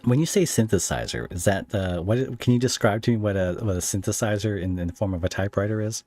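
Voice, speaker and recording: A man hosting a podcast, chatting casually with a guest in halting, searching phrases that often turn into questions. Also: lowish voice, warm tone. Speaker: podcast host interviewing a guest. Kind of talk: casual interview chat.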